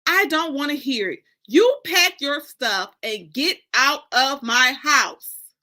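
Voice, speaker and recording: A woman's voice: high-pitched, expressive, slow pace, sharp tone, pitched above the performer's own voice, heavily acted. A woman voicing an indignant, scolding mother in a dramatic book reading, with emphatic, folksy, confrontational phrasing. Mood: outraged, hurt.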